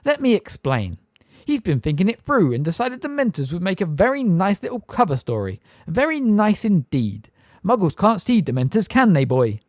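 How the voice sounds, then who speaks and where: A man's voice: a little high, very animated, normal speed, a little mellow, no echo, studio quality, pitched above the performer's own voice. A man reading an audiobook voices a plump, pompous middle-aged official who talks in a smirking, lofty, snappish way. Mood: smug. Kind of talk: audiobook character voice.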